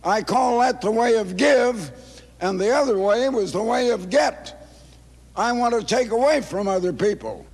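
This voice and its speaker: Hoarse man in his eighties, slow. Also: sits high. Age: 80s. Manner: slow, deliberate, repeats phrases for emphasis. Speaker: speaker addressing an audience. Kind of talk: formal public address. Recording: long reverb of a large hall.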